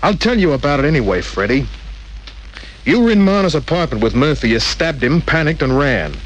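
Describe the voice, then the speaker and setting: A man's voice: fairly high pitch, sing-song, a little fast, poor audio. A man playing a cool, shrewd private detective in an old-time radio drama, with a wry, terse tough-guy delivery. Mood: confident, needling. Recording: old radio recording with faint hiss.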